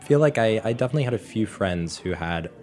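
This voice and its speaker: An American man in his twenties from Florida, a guest chatting casually and haltingly in a radio studio. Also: fairly low pitch, animated, darkish tone, faint music behind. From Florida, USA. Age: 20s. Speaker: chef, interview guest. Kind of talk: casual chat.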